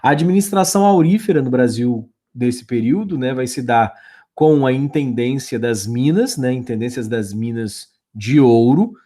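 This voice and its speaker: A man's voice: mid-range voice, animated, normal speed, mellow. Rambling man lecturing with many fillers. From Brazil. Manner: loose, full of fillers and restarts. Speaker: history teacher. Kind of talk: classroom lecture over slides.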